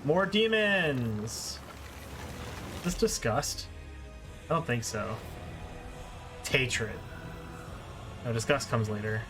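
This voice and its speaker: Man commentating live gameplay over loud music. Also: mid-range voice, expressive, takes it slowly, pauses often. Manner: casual, explanatory. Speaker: video game streamer. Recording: game music behind the voice. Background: video game music.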